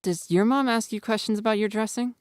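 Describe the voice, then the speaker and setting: A man's voice: high-pitched, fairly quick, smooth, dry recording, clean studio sound, voice pushed up, natural voice. A man voicing a nervous, anxious teenage boy who speaks tentatively in a storybook reading. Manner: tentative, worried.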